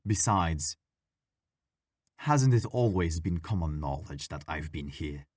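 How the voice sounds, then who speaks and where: A man playing a friendly, bored, mischievous ghost in an intimate ASMR audio roleplay, talking in a playful, wry, gently argumentative way. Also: very deep voice, lively intonation, slow pace, husky, dry recording, clean studio sound, exaggerated voice. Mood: defensive, teasing.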